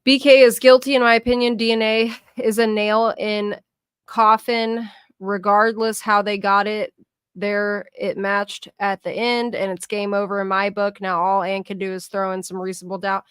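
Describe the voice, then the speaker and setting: A woman's voice: medium pitch, little pitch movement, normal speed, a bit bright, smooth, dry recording, clean studio sound. A woman co-hosting a livestreamed podcast, chatting casually and earnestly arguing her point at length. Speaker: podcast co-host.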